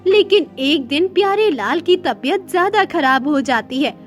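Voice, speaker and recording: High-pitched woman narrating a moral story. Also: lively intonation, measured pace, faint music behind.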